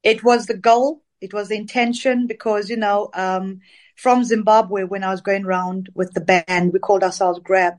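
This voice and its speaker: A middle-aged woman from Zambia answers interview questions at a middle pitch. She tells her story in an easygoing, reflective way, with small pauses and fillers as she remembers. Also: steady tone. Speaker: interview guest, dance singer. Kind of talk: casual interview conversation.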